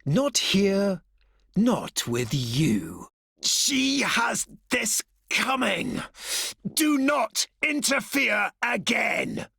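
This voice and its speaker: Game villain: cold, possessive, grandiose; very slow, dramatic delivery with huge swings and a gravelly edge. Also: male voice, high-pitched, wildly swooping pitch, bright, forceful tone, no room sound, clean studio sound, exaggerated voice. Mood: furious. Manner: theatrical, menacing, drawn-out phrasing that slips into breathy whispers. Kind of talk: dramatic video game voice acting.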